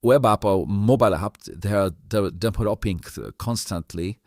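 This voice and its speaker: A man with a non-native English accent hosting an interview chat, halting and stammering, often repeating words. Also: fairly low pitch, a little mellow, dry recording. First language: not English. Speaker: host.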